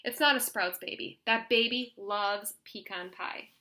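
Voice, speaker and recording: Woman reading as a grumbling jealous little girl, bright-voiced. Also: medium pitch, unhurried. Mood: reluctant, defiant. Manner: grumbling, plainspoken. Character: jealous young girl narrating a children's picture book.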